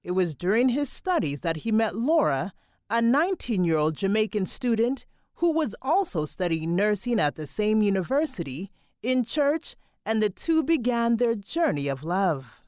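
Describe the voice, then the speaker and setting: A woman narrating a documentary in a scripted, measured storytelling delivery. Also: mid-range voice, big pitch swings, darkish tone, dry recording, clean studio sound.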